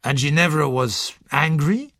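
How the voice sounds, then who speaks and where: A woman's voice: low-pitched, unhurried, dry recording, studio quality. A woman narrator playing a confident, kindly male doctor with a matter-of-fact manner in an audiobook. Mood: assured, satisfied. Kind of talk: audiobook character dialogue.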